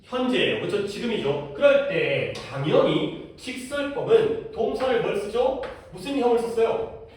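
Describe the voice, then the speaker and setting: A man teaches a grammar lesson in a relaxed, chatty way, asking his students quick questions. His voice sits high and moves around a lot. He is picked up from a distance in a classroom that rings with echo. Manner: casual explaining, asks students questions. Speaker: English teacher. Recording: echoey classroom from far off.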